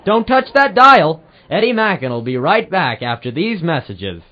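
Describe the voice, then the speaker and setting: Man playing wry private eye with lively pitch swings. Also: moderate pace. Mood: narrating dryly. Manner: wry, sardonic. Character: hard-boiled, wisecracking male private eye narrating his case. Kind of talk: old-time detective radio drama, narration and dialogue. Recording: muffled amateur radio-drama recording with music.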